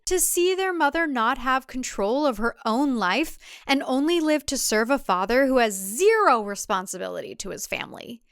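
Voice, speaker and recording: A woman acts out a defiant young heroine in an audio thriller. She speaks in a firm, controlled way with cold resolve, her voice clear and closely recorded. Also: dry recording, clean studio sound. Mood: controlled rage. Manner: low, controlled, firm delivery.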